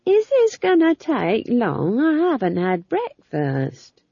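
A woman plays an old witch in an audiobook, defiant and unflappable. She talks back in a rustic, deadpan way, husky and expressive, on a slightly dull recording. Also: on the high side, slow, no echo, voice pushed up, exaggerated voice. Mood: deadpan defiance. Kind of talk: audiobook character voice.

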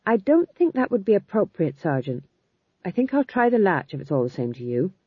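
A polite, fussy king from a storybook, played by a narrator, hesitant and easily exasperated. The voice is soft-edged and husky with a changeable melody, recorded dry. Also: medium pitch, sing-song, brisk, no room sound, narrow, tinny audio. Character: mild, fussy, embarrassed king, man.

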